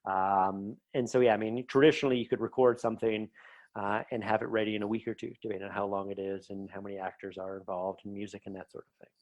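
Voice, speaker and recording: A man with a fairly low voice talks as a guest in a casual interview. He explains things enthusiastically, in a loose and rambling way. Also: level tone, fast talker. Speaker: podcast guest, writer.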